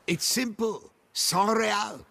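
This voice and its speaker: A slow, fussy court sommelier. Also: male voice, high voice, creaky, sharp tone, a little hiss or hum, heavily acted. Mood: absorbed, sure. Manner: hesitant murmuring, savoring clipped verdicts. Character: fussy, self-assured male wine connoisseur of the court. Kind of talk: video game cutscene acting.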